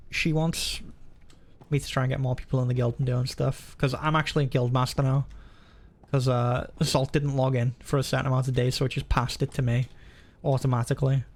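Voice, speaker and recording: A man's voice: medium pitch, darkish tone. A man live streaming a game, rambling casually and thinking aloud as he comments and chats.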